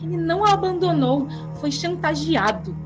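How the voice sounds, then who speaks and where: Actress doing an accusing goddess daughter, really high voice, solemn and theatrical, loud music all over it. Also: female voice, sing-song, normal speed. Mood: righteous indignation. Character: wronged goddess daughter, righteous, accusing, protective mother. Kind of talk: mythological comedy audio drama.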